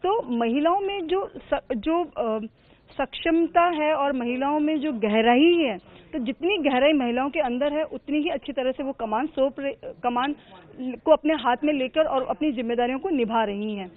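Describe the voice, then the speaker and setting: A woman with a high, bright voice speaks earnestly about her work, slipping into English phrases now and then. The sound is squeezed thin, as if heard over a phone line. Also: moderate pace. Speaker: air traffic control manager. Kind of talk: news interview answers. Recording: narrow phone-like audio.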